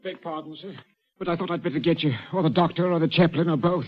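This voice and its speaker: An uneasy prison warder played by a man in an old radio drama. His voice is a little high, deferential and halting, on a dull vintage recording. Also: steady tone, close to an everyday voice. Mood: shaken, urgent. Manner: respectful, halting, colloquial. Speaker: actor. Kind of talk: 1940s radio acting. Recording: muffled 1940s radio recording.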